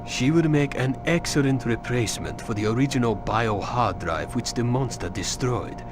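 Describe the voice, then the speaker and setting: A man playing a courteous, coldly formal corporate executive in a video game cutscene, measured and polite. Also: medium pitch, background noise, lightly acted. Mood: formal satisfaction.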